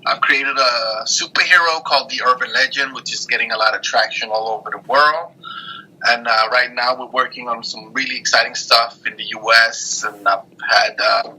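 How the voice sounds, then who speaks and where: A man's voice: medium pitch, slightly rough. A man raised in Norway, a comic creator, talking earnestly as an interview guest over a call. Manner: earnest, steady, a bit halting. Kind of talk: casual interview. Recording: tinny phone-like line.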